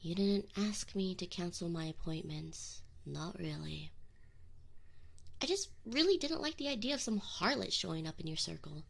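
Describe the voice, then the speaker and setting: Woman playing a prickly tsundere succubus, swooping lively pitch. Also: gravelly. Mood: defensive, prickly. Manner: defensive, teasing, halting when admitting feelings. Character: tsundere succubus demon, young woman, prickly, needy, affectionate. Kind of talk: scripted ASMR roleplay acting, intimate direct address.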